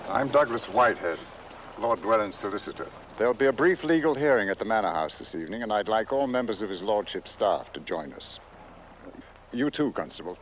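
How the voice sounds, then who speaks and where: An actor playing a family solicitor, brisk and businesslike, with a formal manner. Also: male voice, lowish voice, expressive, natural voice. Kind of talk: 1970s horror comedy film acting. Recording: muffled, noisy old film soundtrack.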